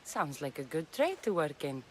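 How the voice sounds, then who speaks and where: A woman's voice: low voice, sing-song, moderate pace, a little mellow, a little hiss or hum. A woman playing a fierce, vengeful female mercenary with an intense, resolute manner in a video game. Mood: inquisitive. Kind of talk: video game cutscene acting.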